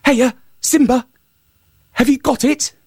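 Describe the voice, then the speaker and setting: A man voicing a sly, courtly male hare trickster in a high, wheedling voice. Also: sing-song, moderate pace, dry recording, clean studio sound, full character voice.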